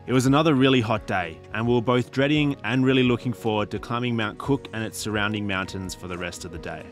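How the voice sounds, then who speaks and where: A young man reading a calm, reflective voice-over narration of a journey. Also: on the low side, quick pace. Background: music.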